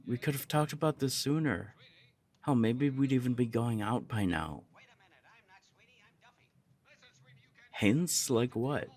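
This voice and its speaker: Guy playing lovesick punk roommate for asmr romance audio, deep voice, slow n halting, earnest and kinda bashful. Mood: flustered, amazed.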